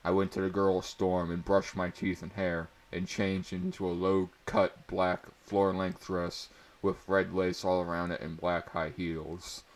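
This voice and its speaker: A man's voice: deep voice, level tone, a little slow. A man doing a mock-dramatic read-aloud of amateur fiction, with asides and a tendency to crack up. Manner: often breaks into laughter while reading. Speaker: amateur reader of fan fiction.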